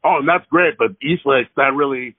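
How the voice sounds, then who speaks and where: A man's voice: mid-range voice, moderate pace, slightly rough, no room sound. A man calling a transportation office from his car to complain about traffic, rambling and hesitant but pressing his point. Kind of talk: phone call. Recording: tinny phone line, dry.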